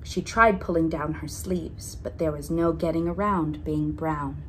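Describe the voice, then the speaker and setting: A woman's voice: low voice, a little slow, low-quality recording. A woman reading a children's picture book aloud expressively, drawing out key words for emphasis. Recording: noticeable background noise.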